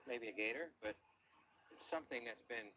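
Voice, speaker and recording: A man working as an underwater search diver, narrating the search step by step outdoors by ponds and calmly reporting depths and findings. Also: mid-range voice, moderate pace, noisy background.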